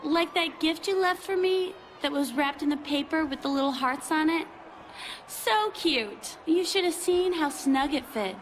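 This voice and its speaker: A woman playing a flirty, mocking wrestling show manager in a video game cutscene. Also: high-pitched. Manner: coy, mocking, playful. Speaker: general manager. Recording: game cutscene with ambient noise.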